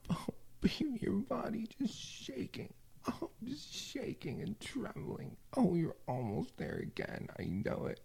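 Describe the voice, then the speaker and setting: Slow, breathy male whisperer, deep and raspy, for intimate roleplay. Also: huge pitch swings, a little mellow. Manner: breathy, murmured, heavy with sighs. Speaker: audio roleplay performer.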